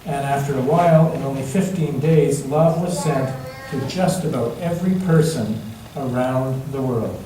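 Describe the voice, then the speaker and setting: A man reading a children's story aloud to all ages, expressive and dramatic, savoring the rhymes with emphatic pauses. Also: medium pitch. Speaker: storyteller reading a picture book at a church. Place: large indoor church space, heard from a distance. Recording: echoey church hall, distant mic, faint noise.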